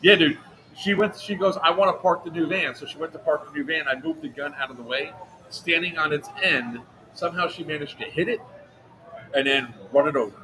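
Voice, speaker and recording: A man's voice: a little high, a little fast. Chatty man hosting an outdoor stream. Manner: loose and chatty, laughs easily. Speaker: host. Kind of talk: casual livestream chat. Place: outdoor event. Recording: clear background event noise.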